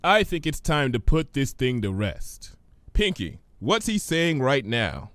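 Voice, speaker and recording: Man voicing a measured, reasonable pony princess. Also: mid-range voice, big pitch swings, fairly bright tone, dry recording. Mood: firm. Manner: measured, explanatory. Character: calm, reasonable female pony princess, bookish and reassuring.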